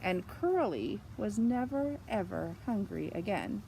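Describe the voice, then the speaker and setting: A woman's voice: medium pitch, sing-song, a little slow, creaky, warm tone, a little hiss or hum, rough recording. A woman reading a picture book aloud for young children, explaining simply and expressively as she goes. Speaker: children's story reader.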